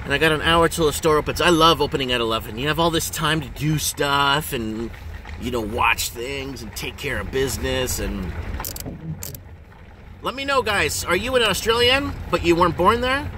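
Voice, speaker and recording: A middle-aged man talks casually to his viewers in a fairly high, bright, forceful voice. He rambles and hammers his questions with animated emphasis. Faint engine and road noise runs behind him inside the car. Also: normal speed. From Ontario, Canada. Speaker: vlogger.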